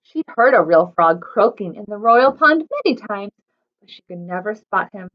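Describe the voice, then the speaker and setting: A woman's voice: mid-range voice, animated, moderate pace, highs cut off. A woman hosting a children's storytime, reading aloud expressively and chattily putting questions to young listeners. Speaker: children's library storytime host.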